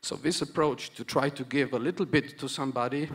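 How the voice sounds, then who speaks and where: A man from Romania gives an earnest conference speech, stressing points through repetition and pausing for hesitant restarts. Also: medium pitch, breathy edge. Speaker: conference panel speaker.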